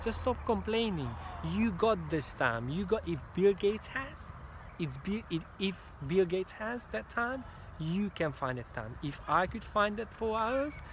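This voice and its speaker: A Hungarian-accented man delivers a motivational pep talk, his voice sitting a bit higher than most men's. He hammers the same phrases over and over with emphatic, preacher-like drive. He is outdoors, with faint city traffic noise behind him. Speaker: motivational speaker.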